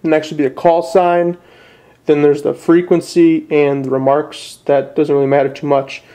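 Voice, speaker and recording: A man's voice: fairly high pitch, little pitch movement, moderate pace, dark tone. A young man with a Midwestern American accent giving a casual, somewhat hesitant step-by-step tutorial in plain words. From northern Illinois, USA. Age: young adult. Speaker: amateur how-to presenter. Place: indoors at a desk.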